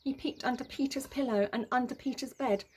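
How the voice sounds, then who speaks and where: A woman with a fairly high voice reads a children's story aloud. Her narration is expressive and emphatic, playing up the drama for young listeners. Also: a little fast, slightly rough. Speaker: story reader.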